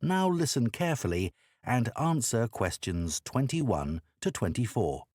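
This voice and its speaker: A man reading scripted exam instructions as an announcer, in a formal, measured manner. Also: medium pitch, sing-song, a little mellow, no echo, studio quality.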